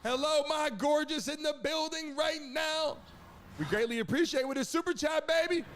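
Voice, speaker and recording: A man's voice: extremely high pitch, normal speed, background noise. A man hosting a live stream with exuberant, repetitive, slangy hype aimed at his chat. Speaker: live-stream show host. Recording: loud music behind. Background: loud music, game broadcast audio.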